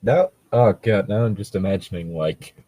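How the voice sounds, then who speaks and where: A man's voice: lowish voice, moderate pace, warm tone, slightly rough, no room sound. A man chatting casually as a co-host, rambling through commentary and swearing freely.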